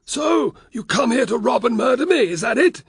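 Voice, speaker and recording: A frightened, jowly Roman uncle pleads in a high, quavering whine. His voice swings wildly up and down with panic, and there is a husky edge to it. The recording is close and dry. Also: animated, normal speed, no echo, full character voice. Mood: pleading. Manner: whining, pleading, trembling. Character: terrified fat middle-aged Roman uncle, cowering.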